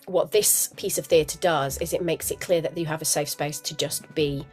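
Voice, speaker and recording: Woman, husky from a cold, enthusiastic, lowish voice, faint music behind. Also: steady tone, normal speed, darkish tone. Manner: enthusiastic, chatty, laughs often. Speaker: musical theatre actress, guest; parent.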